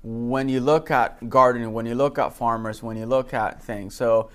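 A man gives an informal class talk. His voice sits at a middle pitch. He speaks haltingly, circling back and repeating words as he explains things through anecdotes. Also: fairly even intonation, fairly bright tone. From Dominican Republic. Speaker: gardening class instructor. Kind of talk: informal lecture with personal anecdotes.

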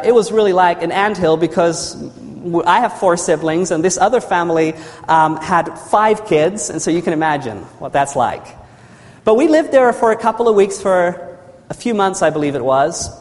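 A man from New Zealand preaching a sermon in a church hall. He tells his story earnestly, repeats phrases and calls for amens. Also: sits high. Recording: long reverb of a large hall.

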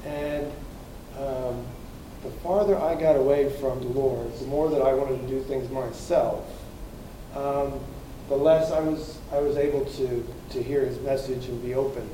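A man's voice: medium pitch, fairly even intonation, unhurried, mellow, slight background noise. An American man in his fifties giving an earnest personal testimony as a guest speaker in a church hall. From United States. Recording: echoey room, from far off.

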